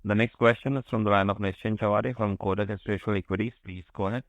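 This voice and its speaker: A man moderating a conference call with brisk, scripted announcements. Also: on the low side, fairly even intonation, dry recording.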